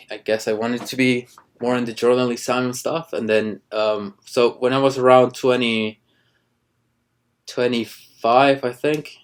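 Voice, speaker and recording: A man in his thirties from Ecuador, a cinematographer chatting casually in an interview, halting with many restarts and fillers. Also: on the low side, smooth. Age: 30s. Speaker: cinematographer guest.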